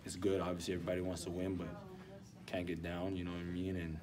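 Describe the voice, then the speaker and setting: A young man in his twenties, a college basketball player, answering postgame questions softly and hesitantly with many ums. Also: deep voice, monotone, quick pace. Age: 20s. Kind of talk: postgame press interview. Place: indoor arena hallway with block walls. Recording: distant mic, background noise.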